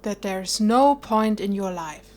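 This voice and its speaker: A woman playing a gentle but firm mother in an audio drama, reassuring and earnest. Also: medium pitch, expressive, slow, background noise. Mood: concerned. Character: gentle, encouraging, firm middle-aged mother.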